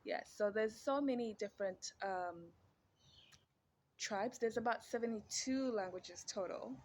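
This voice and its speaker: A woman's voice: on the high side, a little slow, a little hiss or hum. A woman, an interviewee, explaining her upbringing patiently and affirming often. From Zambia. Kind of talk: casual interview.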